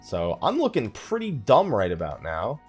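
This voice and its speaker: A man hosts a casual let's play in a slightly low voice. His pitch moves around a lot as he chats and thinks aloud in a loose, rambling way. Faint music plays behind him. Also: huge pitch swings, moderate pace, slightly rough. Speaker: gaming YouTuber, let's play host.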